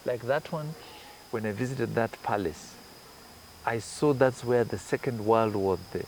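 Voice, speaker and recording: A man's voice: medium pitch, a little slow, darkish tone, slight background noise, rough recording. A man from Kenya, a journalist guest in an interview, who explains his points patiently with examples. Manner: thoughtful, explains with examples and asides. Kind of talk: conversational interview.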